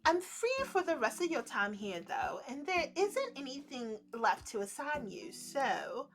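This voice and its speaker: A woman's voice: high voice, big pitch swings, measured pace, fairly bright tone, soft background music, heavily acted. Woman voicing a reserved, formal male winter spirit. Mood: apologetic.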